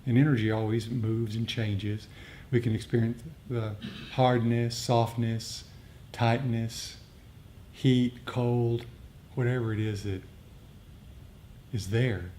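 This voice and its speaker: A man with a soft, mellow voice gives a reflective dharma talk. He explains things haltingly, pausing to find his words as he answers a group. A low rumbling noise sits behind him. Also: steady tone. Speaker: meditation teacher. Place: group meditation gathering.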